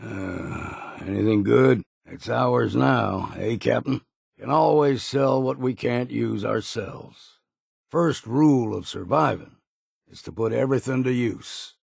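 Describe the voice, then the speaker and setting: Gravelly, raspy old sailor. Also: male voice, medium pitch, takes it slowly, darkish tone, no echo, studio quality, full character voice. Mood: gruff, folksy. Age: older. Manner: folksy, rustic turns of phrase, dropped grammar. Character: gruff, folksy, weathered old sailor, plain-spoken and principled. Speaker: video game companion character. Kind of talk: video game voice lines.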